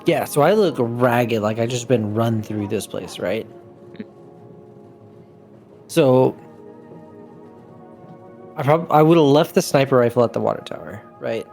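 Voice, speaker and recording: A man with a creaky edge to his mid-pitched voice plays a tabletop game. He talks casually through his character's moves, with music softly underneath. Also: expressive, unhurried, long pauses.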